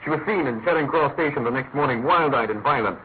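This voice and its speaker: A male actor plays a commanding British detective in a classic radio mystery. He is analytical and emphatic, with a mid-pitched male voice and crisp rhetorical phrasing. The recording is thin and tinny with faint noise. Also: fast talker, close to an everyday voice. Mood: intense, preoccupied.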